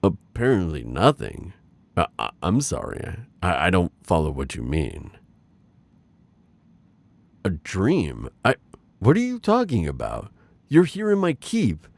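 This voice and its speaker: A man playing a gloating, lovestruck dark warlord in an intimate roleplay audio, with theatrical, playful authority and lingering pauses. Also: low-pitched, huge pitch swings, vocal fry, dry recording, exaggerated voice. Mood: puzzled, probing.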